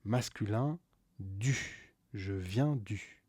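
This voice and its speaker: A man teaching a scripted beginner grammar lesson, slowly repeating each key word and stressing it with a rise. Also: lowish voice, warm tone, breathy edge, dry recording. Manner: slow, deliberate, repeats key words with stressed rises. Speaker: French teacher.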